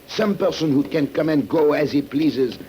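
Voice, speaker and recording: A man's voice: a little high, moderate pace, mellow, lightly acted. A man playing a determined, brooding police commissioner, declamatory and emphatic, in a mid-century radio drama. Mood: brooding, insistent. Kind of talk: 1950s radio drama acting. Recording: noisy old radio recording.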